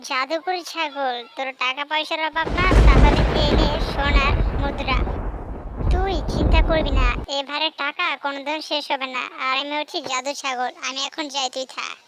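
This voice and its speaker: A woman's voice: high voice, fairly even intonation, moderate pace, heavy background noise, natural voice. A woman voicing a bossy but generous magic goat in a cartoon, with a brash, commanding manner. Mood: brash, boastful. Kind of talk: cartoon character dialogue.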